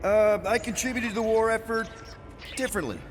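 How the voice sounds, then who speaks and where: An actor playing an easygoing, wisecracking young male space adventurer in a video game, with an American accent and a casual, halting delivery. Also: high voice, in a large hall, faint music behind, close to an everyday voice. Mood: hesitant, guarded. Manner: casual, hesitant, joking. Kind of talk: naturalistic video game voice acting.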